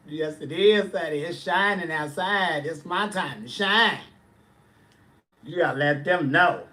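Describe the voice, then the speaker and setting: A man's voice: fairly high pitch, measured pace, bright, forceful tone. A man, chatting casually on a livestream and answering viewers' comments with sass. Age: 40s. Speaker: livestream host.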